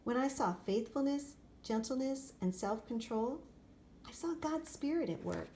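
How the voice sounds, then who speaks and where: A woman reading a children's picture book aloud expressively, in short, deliberate phrases. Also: high-pitched, expressive, mellow. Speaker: storybook reader. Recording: clear background noise, room ambience.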